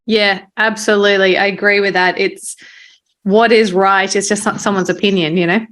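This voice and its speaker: A woman interviews a guest as a podcast host. Her voice sits at a medium pitch, and she talks casually, affirming and encouraging as she goes. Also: steady tone, normal speed, a bit bright.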